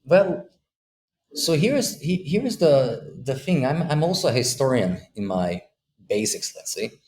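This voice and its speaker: A man in his thirties from Bosnia speaks on a video call, pausing often and restarting his sentences as he works through his ideas. His voice is mid-pitched. The room around him sounds echoey, with a faint hum underneath. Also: very animated, darkish tone. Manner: hesitant, many fillers and restarts. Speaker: podcast guest. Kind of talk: thoughtful conversational explanation. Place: room with a window.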